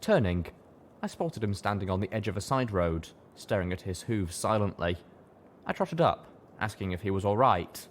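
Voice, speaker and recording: A man narrating a first-person fantasy audiobook in a steady storytelling manner. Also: lowish voice, normal speed, slight background noise.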